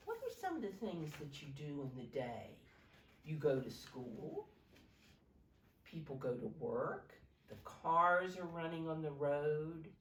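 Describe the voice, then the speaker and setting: A woman reading a picture book aloud to young children as a teacher, pausing to explain simply and ask them questions. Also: low-pitched. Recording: roomy echo, distant from microphone.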